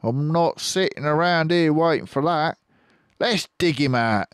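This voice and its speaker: Man putting on a high-pitched scheming farmer. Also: measured pace, smooth, dry recording, clean studio sound, natural voice. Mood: impatient, determined. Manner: loud, insistent, high-pitched delivery. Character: Bean, scheming cider-swigging farmer, male, impatient and commanding.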